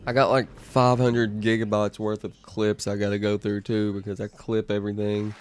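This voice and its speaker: Young man, game streamer, rambling casual chat, lowish voice, game music behind. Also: monotone, normal speed, smooth. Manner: rambling, restarts a lot, chatty with viewers.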